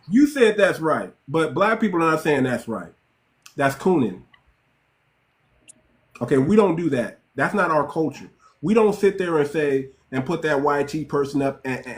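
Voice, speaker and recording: A male host with a high voice speaks emphatically. He cuts in often during a heated live-stream argument. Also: lively intonation, moderate pace.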